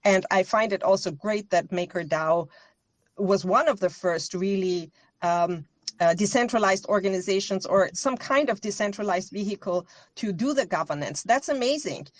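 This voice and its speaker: A woman's voice: medium pitch, normal speed, no echo. A woman from Austria, a guest answering interview questions in earnest, lecturing detail, often correcting herself mid-sentence. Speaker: guest expert. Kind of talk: interview answers, explaining at length.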